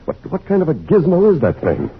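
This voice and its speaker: A folksy country sheriff in a radio play, easily baffled. His pitch moves all over, and the old recording is dull and noisy. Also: wildly swooping pitch. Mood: shaken. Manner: folksy, halting when startled. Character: folksy small-town male sheriff, reassuring but easily baffled. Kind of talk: old-time radio drama acting.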